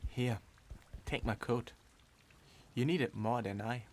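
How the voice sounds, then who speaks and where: A man playing a caring German soldier of the First World War in a radio drama, gentle and deliberate. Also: medium pitch, very noisy, lightly acted. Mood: caring, resigned. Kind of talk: student radio drama acting.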